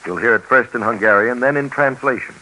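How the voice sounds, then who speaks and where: A man narrating a 1950s radio documentary, speaking in a measured way straight to the listener. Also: mid-range voice, bright, forceful tone, slight background noise, lightly acted, rough recording. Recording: old radio recording with music behind.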